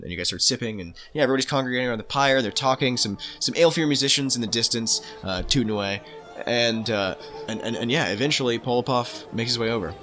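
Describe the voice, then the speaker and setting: A man's voice: on the low side, sharp tone. A man narrating a tabletop game as game master in a casual, slangy, improvised way.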